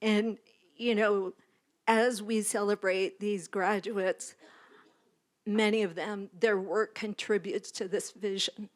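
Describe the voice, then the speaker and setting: Woman professor, measured and earnest, reading a formal statement at a ceremony. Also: mid-range voice, fairly even intonation. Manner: measured, earnest, partly read. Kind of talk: formal land acknowledgement speech. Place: university graduation ceremony.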